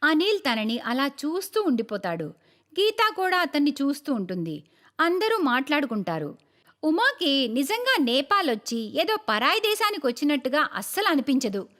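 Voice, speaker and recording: Female storyteller for moral tales: high voice, very lively intonation, scripted narration. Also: moderate pace.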